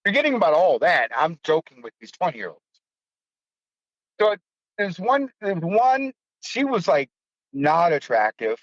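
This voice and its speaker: A man's voice: on the high side, dry recording, dull audio. A man in his fifties from the United States, a podcast host telling a personal story in a blunt, profane, self-mocking way. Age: 50s. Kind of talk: casual storytelling.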